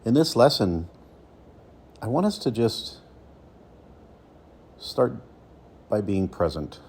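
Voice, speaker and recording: A man leading a guided meditation as a coach, speaking slowly and soothingly with deliberate pauses between phrases. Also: fairly low pitch, very animated, a little mellow, slight background noise.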